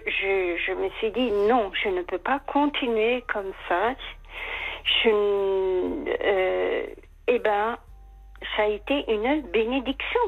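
Halting woman caller on muffled phone line. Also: medium pitch, creaky, faint music behind. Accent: slight English accent. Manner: hesitant and halting, many fillers and restarts, emotional. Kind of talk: personal confession on call-in radio. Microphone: telephone line.

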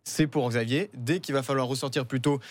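A man's voice: mid-range voice, fairly even intonation, fairly quick, no room sound. A man from a broadcast crew, telling stories in casual chat. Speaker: radio and TV show team member. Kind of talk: casual animated storytelling.

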